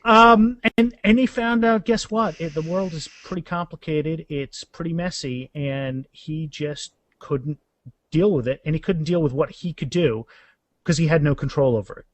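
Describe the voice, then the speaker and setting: A man speaking as an author guest in a casual interview, halting and restarting phrases, with self-deprecating humor. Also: on the high side, no room sound.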